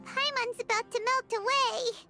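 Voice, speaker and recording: A woman voicing a tiny, excitable, childlike female fairy companion in a video game, squeaky, whiny and dramatic. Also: moderate pace, full character voice. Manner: childish, dramatic, refers to self in third person. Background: music.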